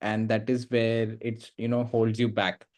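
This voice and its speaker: A man's voice: lowish voice, level tone, a little slow, a little mellow. A man speaking as a guest in a panel discussion, giving advice hesitantly with many fillers and restarted words. English is not his first language.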